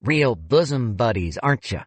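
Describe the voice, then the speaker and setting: A man's voice: mid-range voice, very animated, moderate pace, no room sound, voice pushed up. A man voicing an irritable female fundraising chief who talks bluntly and sneers. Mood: curt irritable.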